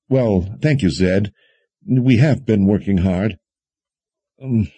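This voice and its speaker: An awkward, earnest young male mage as a man narrating an audiobook plays him. He speaks politely and haltingly, pitched above the narration, with a soft husky edge. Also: no echo. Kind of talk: audiobook character dialogue.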